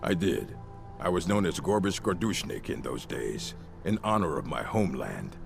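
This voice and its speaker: An actor playing a gruff orc warrior in a video game, proud and dutiful, with a deep, gravelly voice and a blunt, formal way of speaking. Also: male voice, expressive, moderate pace, full character voice. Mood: reflective. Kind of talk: video game character dialogue. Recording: game music playing behind.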